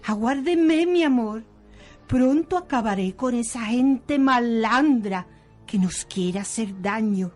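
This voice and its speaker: Slow, melodramatic soap villainess. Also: female voice, on the high side, animated, darkish tone, soft background music. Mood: menacing, possessive. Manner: melodramatic, drawn-out. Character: lovesick, vengeful villainess of a soap opera. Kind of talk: parody radio soap opera acting.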